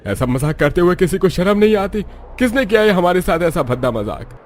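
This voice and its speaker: A woman voicing a panicky middle-aged village father-in-law, shouting in alarm in a cartoon. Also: lowish voice, sing-song, a little fast, slight background noise, voice pushed down. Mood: indignant.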